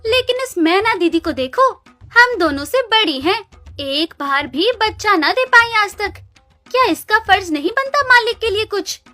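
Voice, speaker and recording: A woman's voice: very high voice, lively intonation, a little slow, pushed and bright, exaggerated voice. A woman voicing a spiteful younger sister cow in a cartoon story, mocking and scornful. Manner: taunting, scornful. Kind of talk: Hindi animated moral story dialogue. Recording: music behind the voice.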